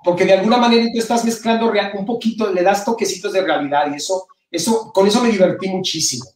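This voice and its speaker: A middle-aged man from Mexico with a Mexican accent touched by Spain, talking casually and haltingly as an interview guest. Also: sits high, a little mellow. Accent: Mexican Spanish with some Spain influence. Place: indoor room at home, on a video call. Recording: roomy, distant-mic video call.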